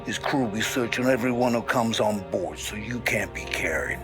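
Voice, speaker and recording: A man voicing a blunt, streetwise gangster laying out a plan in a crime game. His voice sits low, and music plays under the scene. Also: moderate pace, close to an everyday voice. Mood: matter-of-fact. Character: blunt male mob consigliere planning a hit. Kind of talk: video game cutscene acting. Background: background music.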